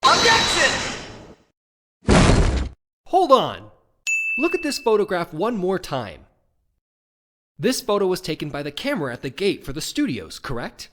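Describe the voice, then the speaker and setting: Man acting an animated, dogged young defense lawyer. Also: high voice, measured pace, long pauses, exaggerated voice. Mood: forceful objection. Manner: insistent, questioning, emphatic. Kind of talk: video game fan voice acting.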